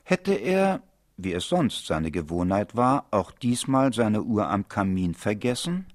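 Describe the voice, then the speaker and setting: A man's voice: on the low side, vocal fry, a little mellow, breathy edge, no echo, exaggerated voice. A man acting as the wry narrator of a literary radio drama, telling the story like a storyteller. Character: wry, unhurried male storyteller.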